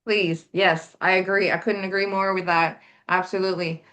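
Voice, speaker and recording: A woman's voice: fairly low pitch, little pitch movement, moderate pace, fairly bright tone. Earnest woman guest on a podcast. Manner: earnest, emphatic, repeats her points. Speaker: guest, health coalition organizer. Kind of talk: casual interview talk.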